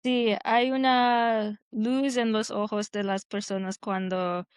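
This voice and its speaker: Halting woman whose first language is Hindi, clear voice. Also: mid-range voice, fairly even intonation, dry recording. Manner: halting, many "am" fillers, slips into English words. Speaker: podcast guest. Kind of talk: casual conversation in learner Spanish.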